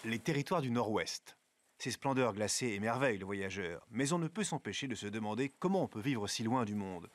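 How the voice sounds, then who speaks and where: A man giving calm, deliberate scripted narration for a documentary. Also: lowish voice, a little hiss or hum.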